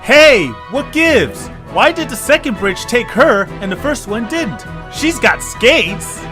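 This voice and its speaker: Man as cautious young cartoon creature, sardonic, swooping intonation, unhurried, faint music. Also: very high-pitched, sing-song, full character voice. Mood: confused. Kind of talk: amateur audio drama acting.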